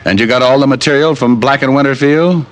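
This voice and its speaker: An actor playing a tough, wry, wisecracking private detective in an old-time radio drama, with clipped hard-boiled delivery. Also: male voice, medium pitch, fast, close to an everyday voice, low-quality recording. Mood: interrogating. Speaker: lead actor and narrator. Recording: vintage radio recording with music.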